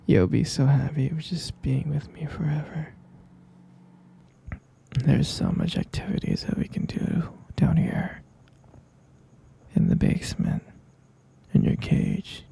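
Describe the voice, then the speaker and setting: A man voicing an obsessive, possessive young captor in an ASMR roleplay, whispering softly and calling the listener pet names. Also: fairly high pitch, expressive, slow pace, long pauses, slight background noise, exaggerated voice. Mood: obsessive, doting. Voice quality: gravelly whisper.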